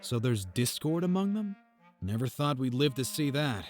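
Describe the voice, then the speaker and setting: A man's voice: mid-range voice, sing-song, normal speed, a little mellow, soft background music, lightly acted. A man voicing an eager, respectful and curious rebel soldier in a visual novel.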